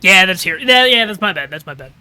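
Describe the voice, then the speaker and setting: A man calls a live game match in a high, ringing voice, talking casually and thinking out loud. His throat is a little crackly. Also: expressive, quick pace, clean tone, dry recording. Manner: casual, chatty, thinking aloud. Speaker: caster.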